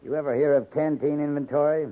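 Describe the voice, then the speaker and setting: An actor playing a stern, fair-minded American cavalry captain in a 1950s radio drama, speaking in a clipped, commanding way. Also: male voice, fairly high pitch, fairly even intonation, fast talker, close to an everyday voice. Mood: stern reprimand. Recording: muffled, noisy 1950s radio recording.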